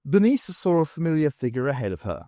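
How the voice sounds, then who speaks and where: A man narrates a novel in an expressive, scripted style. His voice sits a little high and moves with a very lively range. The sound is dry, with no room echo. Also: fast. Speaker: audiobook narrator.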